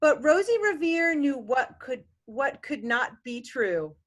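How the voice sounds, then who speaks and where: A woman's voice: high voice, slow. A woman co-hosting a storytime, reading a children's picture book expressively and adding chatty asides. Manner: animated, with chatty asides to young listeners. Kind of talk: expressive children's read-aloud.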